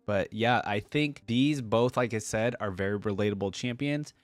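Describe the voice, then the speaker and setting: A man's voice: on the low side, moderate pace, dry recording. A man hosting a reaction video, giving casual, conversational commentary that rambles along.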